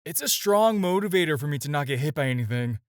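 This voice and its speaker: Flirty villain for audio roleplay, played by a man: lightish male pitch, very lively intonation, teasing and self-assured. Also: quick pace, clear, resonant tone, dry recording, clean studio sound, close to an everyday voice. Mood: teasing, earnest. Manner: teasing, casual, conversational with hesitations. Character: flirty, smooth, self-assured male supervillain courting his tailor. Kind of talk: scripted audio roleplay addressed to the listener.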